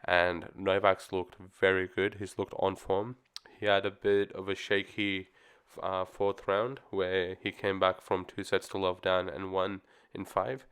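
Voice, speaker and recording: A man's voice: sits low, level tone, measured pace, a bit bright, clear, resonant tone. A man talking casually on his own channel about tennis, easygoing and rambling with plenty of ums, his voice slightly off as he gets over the flu. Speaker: tennis commentary vlogger. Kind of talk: casual solo opinion talk.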